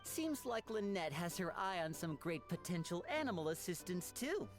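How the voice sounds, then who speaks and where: A woman's voice: fairly low pitch, big pitch swings, moderate pace, over music. A woman voicing a charming, enthusiastic young male stage magician in a fan-dubbed game, theatrical and courteous. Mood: cheerful.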